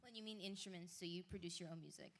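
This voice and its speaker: A woman hosting a live concert stage, interviewing a guest and giving shout-outs in a chatty, upbeat way full of fillers and apologies. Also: on the low side, fairly even intonation, fast talker. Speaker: host. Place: live stage at an indoor market venue. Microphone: handheld stage mic.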